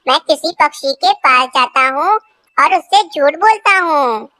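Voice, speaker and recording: A performer voicing a gleeful lying male crow with a squeaky childlike voice in a children's cartoon story. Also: lively intonation, measured pace, pushed and bright, no room sound, heavily acted. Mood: scheming. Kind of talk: children's animated story dialogue.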